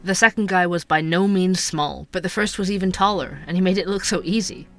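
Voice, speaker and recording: A man's voice: high-pitched, fairly quick, soft background music. An actor playing an earnest young male conspiracy podcaster, narrating a scripted audio drama like a storyteller. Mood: recounting, intrigued. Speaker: podcast host.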